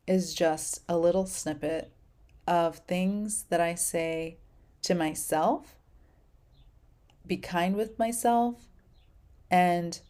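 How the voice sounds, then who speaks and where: A woman talks on her own podcast in a gentle, encouraging way and recites affirmations for her listeners. Her voice sits a little low and sounds mellow and warm. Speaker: podcast host. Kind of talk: casual solo podcast talk.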